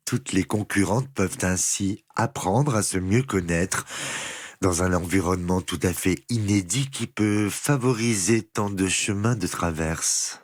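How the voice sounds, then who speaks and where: Man, reporter, very low voice, calm voice-over, dry sound. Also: dry recording. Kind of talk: scripted report narration.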